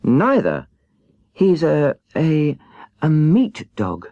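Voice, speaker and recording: A man voicing a plucky, forthright little girl in a children's storybook, earnest and quick to indignation. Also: fairly high pitch, huge pitch swings, mellow, no room sound, dull audio, voice pushed up, full character voice. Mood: matter-of-fact. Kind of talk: children's storybook character reading.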